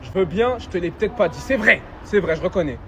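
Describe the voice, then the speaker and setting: A man's voice: sits high, lively intonation, quick pace, loud music behind the voice. A young man in a comedy sketch, gloating and mocking his friend with laughter in his voice. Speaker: teasing friend.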